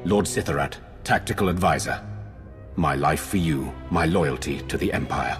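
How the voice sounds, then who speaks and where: A man playing a solemn, loyal Sith lord and tactical advisor, speaking formally and gravely in a video game. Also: very deep voice, normal speed. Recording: music behind the voice.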